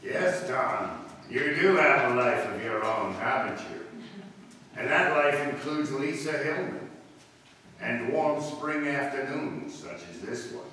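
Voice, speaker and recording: A man's voice: mid-range voice, slow pace, bright, forceful tone. A man playing the knowing, dramatic narrator of a 1940s-style radio suspense mystery. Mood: knowing, suspenseful. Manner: dramatic, addresses the character directly. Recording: distant echoey hall, faint noise.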